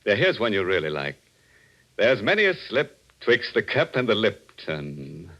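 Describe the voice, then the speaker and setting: Macabre, sardonic radio horror host, low voice, swooping intonation, old-time broadcast. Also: male voice, big pitch swings, fairly bright tone, highs cut off. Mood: macabre glee. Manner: sardonic, chuckling, drawn-out sinister delivery. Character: ghoulish, gleeful male horror host cracking macabre puns. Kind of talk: 1940s radio acting. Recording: vintage radio broadcast with music.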